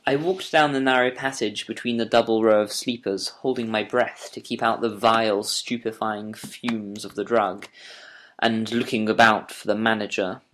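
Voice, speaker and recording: A man narrating a first-person audiobook story with measured, literary, deliberate phrasing. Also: fairly low pitch, fairly even intonation, a bit bright, slight background noise. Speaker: audiobook narrator reading as Doctor Watson.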